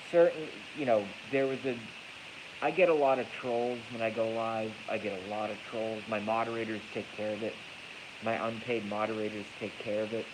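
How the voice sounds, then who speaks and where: A man with a congested, stuffy voice delivers a casual, rambling livestream monologue, blunt and profane, repeating phrases for emphasis. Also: on the low side. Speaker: livestream host. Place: echoey garage at night. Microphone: iPhone, moved closer during the stream. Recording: hissy noisy iPhone recording.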